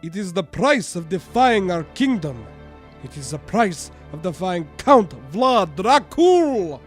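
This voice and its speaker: Man playing a slow, pompous warlord count. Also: sits high, big pitch swings, soft background music, full character voice. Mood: haughty. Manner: pompous, sneering, theatrical. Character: arrogant, menacing male warlord count, mad with power.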